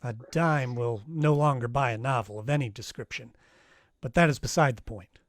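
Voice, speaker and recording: An actor playing a wry, tough private detective, narrating and questioning in an old-time radio crime drama. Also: male voice, mid-range voice, moderate pace, no room sound, clean studio sound, natural voice. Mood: matter-of-fact. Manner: wry, matter-of-fact.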